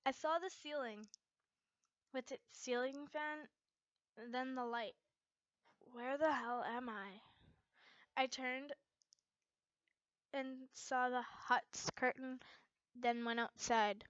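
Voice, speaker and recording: A woman reading fan fiction aloud as an amateur, in an expressive storytelling style. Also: high-pitched, slow, long pauses, no echo, muffled recording. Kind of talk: expressive story narration, character dialogue acted out.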